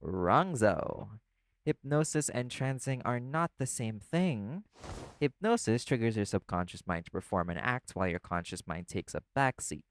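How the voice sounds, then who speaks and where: A man playing a laid-back, caring vampire in an audio roleplay, talking the listener toward sleep in a casual, gently instructive way. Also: medium pitch, lively intonation, normal speed, no echo, lightly acted. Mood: wry, explaining. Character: laid-back male vampire companion, teasing yet caring.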